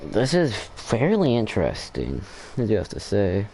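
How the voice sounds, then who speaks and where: Low gravelly guy rambling over his gameplay, dark tone. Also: huge pitch swings, unhurried, slight background noise. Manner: rambling, hesitant, thinking aloud. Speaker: gameplay commentator. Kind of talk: casual let's play commentary.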